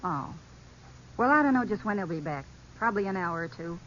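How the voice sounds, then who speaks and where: A woman's voice: mid-range voice, expressive, fairly quick, lo-fi sound, natural voice. Wary actress as woman, noisy old radio. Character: wary, guarded woman answering police questions. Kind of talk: 1950s radio drama dialogue.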